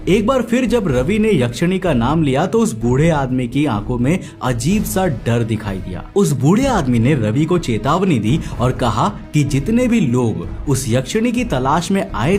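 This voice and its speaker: A man's voice: medium pitch, a little mellow. A man narrating a scripted horror story as a storyteller. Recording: faint music under the voice. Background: faint background music.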